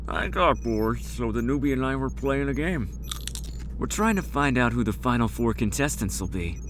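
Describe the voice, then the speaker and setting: Casting: male actor as condescending, sardonic higher-up of a cruel game, mid-pitched, motion comic, faint background noise. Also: expressive, moderate pace, close to an everyday voice. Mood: teasing. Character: arrogant, contemptuous, overworked male higher-up in a deadly game. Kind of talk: scripted motion comic voice acting.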